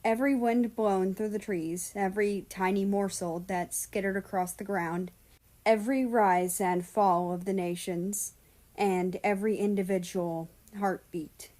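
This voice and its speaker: A woman's voice: medium pitch, steady tone, measured pace, dark tone. A woman narrating fan fiction from a script as a story reader.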